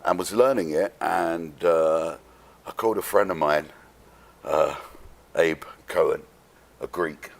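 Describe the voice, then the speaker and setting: Male interview guest, London accent, rock-bottom gravelly register, rambling streetwise storyteller. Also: low-pitched. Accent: London English. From London, England. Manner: rambling, halting, sweary, streetwise.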